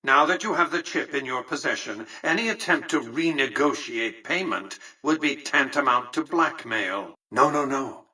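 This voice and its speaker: An actor playing an imperious, calculating old tycoon in a video game, speaking formally and precisely with pompous condescension. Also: male voice, medium pitch, expressive, moderate pace, few pauses, bright, forceful tone, breathy edge, distant mic, full character voice. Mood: controlled, persuasive. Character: imperious, calculating, centuries-old male tycoon ruling a futuristic Vegas. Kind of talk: scripted video game character dialogue.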